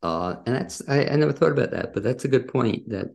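A man's voice: mid-range voice, animated, fairly quick, vocal fry, a little mellow. A man, hosting an interview in a casual, conversational style. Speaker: interview host.

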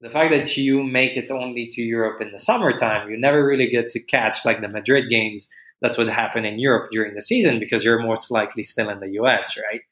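A man hosting a casual podcast interview, asking long, rambling questions in a relaxed way. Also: mid-range voice, a little fast, low-quality recording. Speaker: podcast host.

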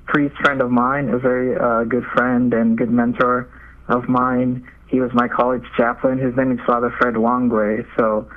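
A man's voice: medium pitch, level tone, a little slow, narrow, tinny audio. A young man gives casual, hesitant interview answers as a seminarian guest over a remote call. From Nigeria. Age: young adult.

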